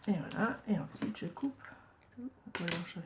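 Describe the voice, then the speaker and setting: A woman's voice: mid-range voice, lively intonation, moderate pace, heavy background noise. A woman with a head cold chatting casually through a crafting tutorial, often murmuring and whispering to herself as she works. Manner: drops to murmurs and whispers while working, repeats "voilà" often. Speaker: craft vlogger.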